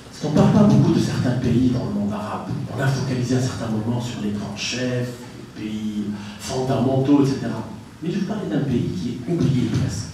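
A man's voice: mid-range voice, animated, a little fast, dark tone, a little hiss or hum. A middle-aged man from Tunisia giving an emphatic conference talk into a handheld mic, asking the audience rhetorical questions. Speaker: conference speaker. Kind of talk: public lecture with anecdotes. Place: conference room, indoors. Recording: echoey room, from far off.

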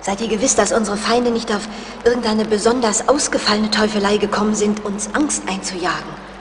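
A woman plays a brave young lady in an audio drama. Her mid-range voice is composed yet anxious. She sounds set back from the microphone, with clear noise around her. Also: medium pitch, fairly quick, natural voice. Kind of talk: German audio drama acting. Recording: distant voice, clear background noise.

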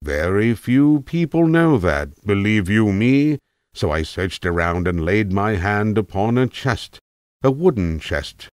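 A man's voice: fairly low pitch, big pitch swings, measured pace, no room sound, studio quality. A man voicing an eccentric, opinionated old tramp telling a rambling tale in an emphatic, self-assured way, as an audiobook character. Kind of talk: acted audiobook character monologue.

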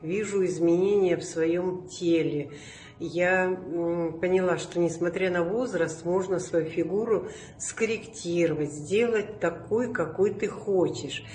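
A woman's voice: lowish voice, a little slow, a little mellow, faint music behind. An older woman giving a sincere video testimonial, speaking directly to viewers to encourage them. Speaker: program participant. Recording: echoey room with faint noise.

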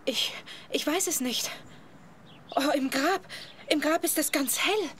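A woman's voice: high voice, slow, fairly bright tone. An actress playing a brave, determined biblical woman in a religious audio drama, earnest and resolute. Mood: awed, resolute. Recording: faint ambient noise behind.

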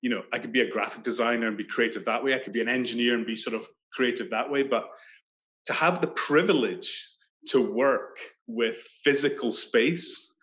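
A Scottish man in his thirties talks through his career in a loose, animated way, circling back and restarting his sentences. His voice sits at a middling pitch. The video call audio comes through dull and lo-fi. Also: normal speed. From Scotland. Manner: animated, gestures with hands, many restarts. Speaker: architect guest. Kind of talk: casual interview chat. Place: indoor room on video call. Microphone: video call webcam.

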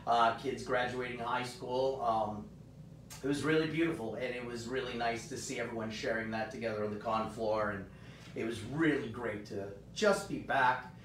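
Hoarse man, roomy and off-mic. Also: fairly bright tone, far from the mic. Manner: upbeat, rambling, many fillers. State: hoarse, voice partly gone. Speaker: host of a live comic shop stream. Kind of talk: casual live-stream chat. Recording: roomy, off-mic, faint rumble.

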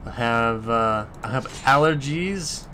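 A man's voice: medium pitch, slow pace, bright, forceful tone, slight background noise. Casual man musing through a game. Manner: crude swearing, muses aloud. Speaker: let's play commentator. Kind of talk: casual gameplay commentary.

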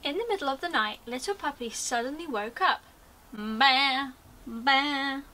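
A woman's voice: high voice, expressive, quick pace, sharp tone, recorded from a distance, rough recording. A British woman in her twenties reading a storybook expressively to young children, as a teacher would. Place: small attic bedroom, indoors.

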